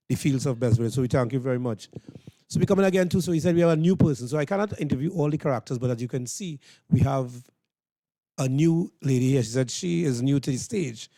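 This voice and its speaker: A man's voice: medium pitch, gravelly, dark tone, no room sound. A man with a Trinidadian accent hosting informal interviews with performers at an event. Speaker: interviewer and host.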